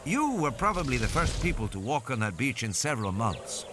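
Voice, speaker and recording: A man voicing a careful, formal male hospital doctor with a measured, precise manner. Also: medium pitch, big pitch swings, background noise, pitched above the performer's own voice, natural voice.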